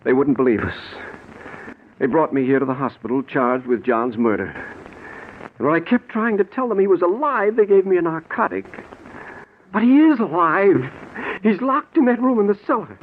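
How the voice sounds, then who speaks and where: An actor playing a distraught, pleading hospital patient in 1940s radio-drama style. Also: male voice, high voice, sing-song, normal speed. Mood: desperate pleading. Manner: desperate, pleading. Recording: narrow, noisy 1940s radio recording.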